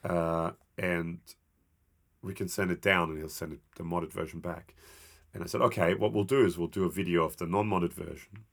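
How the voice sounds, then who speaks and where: A man's voice: deep voice, fairly even intonation, moderate pace, vocal fry. A man co-hosting a gear podcast in casual chat, sounding stuffed up from a cold and rambling with many restarts. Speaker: podcast co-host.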